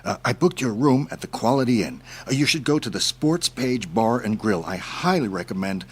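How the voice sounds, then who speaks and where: An actor playing an irreverent covert boss in an audio drama, husky and casual, with a teasing, enthusiastic way of talking. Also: male voice, mid-range voice, expressive, normal speed, slight background noise, close to an everyday voice. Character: playful, irreverent superior agent, a covert male boss.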